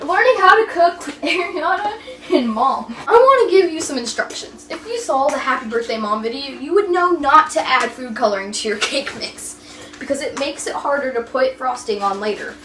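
A young woman with a high, very lively voice plays host in a kitchen cooking video, goofy and teasing as she chats through the steps. The recording is noisy and she sounds off the mic. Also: moderate pace, far from the mic. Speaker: vlogger hosting a cooking video. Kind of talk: playful, chatty cooking demonstration. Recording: echoey, noisy room, off mic.

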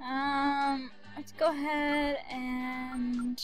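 A young woman giving playful, excitable, singsong commentary as she hosts a casual game playthrough. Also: sits high, drawn-out words. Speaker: gaming video host. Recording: game music behind the voice.

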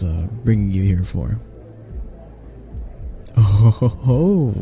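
Guy playing a teasing half-dragon college dude, flirty asmr roleplay, low voice n kinda gravelly. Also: mellow, heavily acted. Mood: wry, casual. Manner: teasing, sardonic, unhurried. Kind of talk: intimate ASMR roleplay acting.

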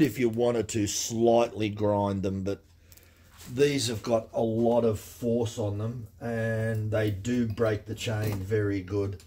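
A man's voice: medium pitch, warm tone, slightly rough. A middle-aged man, demonstrating a tool in a practical, plain-spoken way at his workshop bench. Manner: plain-spoken and practical, with many fillers and restarts. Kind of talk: casual hands-on tool demonstration. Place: indoor workshop at a cluttered workbench. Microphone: camera mic filming his hands up close.

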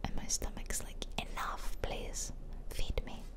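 A woman whispering casual, chatty ASMR commentary over a video game, close to the microphone. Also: slow pace. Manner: casual, chatty asides to viewers. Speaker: gaming video host. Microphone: close-up microphone, ear-to-ear whispering.

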